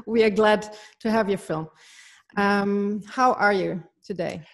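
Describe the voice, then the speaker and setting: Lady hosting a film fest q&a, mid pitch, kinda halting, asks questions. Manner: hesitant, halting delivery. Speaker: moderator of a film festival Q&A. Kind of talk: semi-scripted hosting and interview questions.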